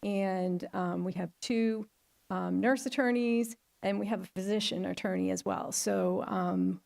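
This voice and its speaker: A middle-aged woman, a hospital risk manager, giving a chatty, enthusiastic conference talk in a large auditorium with a loud voice and many fillers. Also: medium pitch, fairly even intonation, a little slow, vocal fry.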